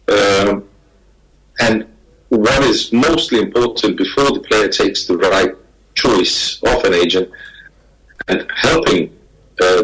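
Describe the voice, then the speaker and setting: A man from Denmark, speaking as a guest on a panel over a video call, halting and repeating words as he talks. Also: fairly low pitch, fairly bright tone, low-quality recording. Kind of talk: remote panel talk.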